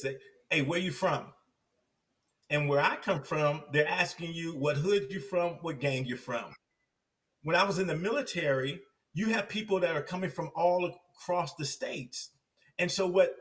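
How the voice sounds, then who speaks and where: A man with a fairly high voice tells his story as a podcast guest, jumping into other people's voices to act out the scenes. He sits back from the mic, so he sounds a little distant. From Compton, California. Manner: animated storyteller acting out quoted voices. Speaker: podcast guest, success coach.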